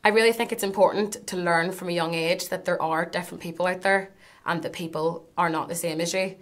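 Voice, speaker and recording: A woman's voice: lowish voice, steady tone, a little fast, fairly bright tone. A young woman, speaking earnestly about her own views. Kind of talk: personal testimony.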